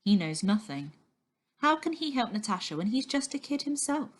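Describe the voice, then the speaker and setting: A woman giving an expressive, dramatic reading of a children's novel as storyteller. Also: medium pitch, normal speed.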